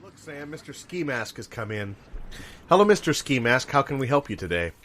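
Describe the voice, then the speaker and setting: A man's voice: medium pitch, very animated, moderate pace, fairly bright tone, faint music behind. A man playing an obliging, folksy shop clerk in an improvised comedy sketch, chatty and rambling.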